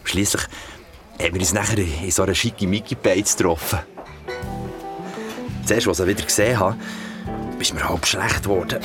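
Actor as young swiss german guy telling a creepy campfire tale, crude slang, lowish voice, music behind. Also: moderate pace, long pauses, lightly acted. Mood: confiding, reminiscing. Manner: colloquial, crude slang, confiding. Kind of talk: audio drama, casual oral storytelling.

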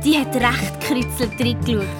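A woman's voice: fairly high pitch, normal speed, over loud music, close to an everyday voice. A woman playing an eager, chatty girl detective with a Swiss German accent, telling her story colloquially in a children's audio drama. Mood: excited. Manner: lively, colloquial retelling, quoting others.

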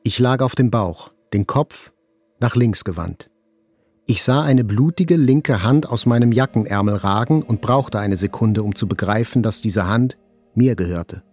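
An actor playing a wounded survivor, narrating in a measured, literary way as in a radio play. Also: male voice, lowish voice, darkish tone, dry recording. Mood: grave, tense. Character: wounded male survivor narrating a terror attack, intense, inward.

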